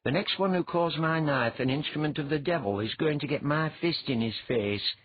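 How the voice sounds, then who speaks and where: A man voicing a polite, earnest and excitable young fighter in an audiobook story. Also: a little high, normal speed, phone-quality sound, slight background noise, voice pushed up, natural voice. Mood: wry.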